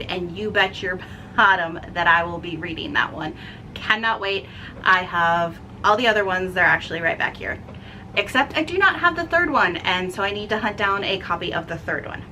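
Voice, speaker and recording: A woman with a bright, forward voice talks casually as a book reviewer on her vlog. She is enthusiastic and emphatic, often repeating words for stress. There is noticeable noise behind her. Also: medium pitch, low-quality recording. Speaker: book reviewer and video host.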